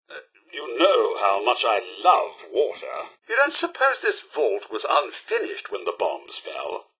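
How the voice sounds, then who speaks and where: A man's voice: mid-range voice, bright, forceful tone, slightly rough, full character voice. A man voicing a prim, fussy, courteous robot butler in a video game, with a British accent and a polite, theatrical manner. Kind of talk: video game companion voice lines.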